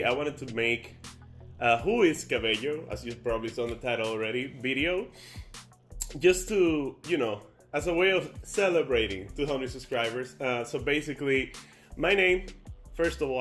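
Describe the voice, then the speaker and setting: A man's voice: medium pitch, a bit bright. Young man with a Dominican accent. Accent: Dominican Spanish. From Dominican Republic. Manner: rambling, many fillers and restarts. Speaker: YouTuber. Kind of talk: casual sit-down chat to camera.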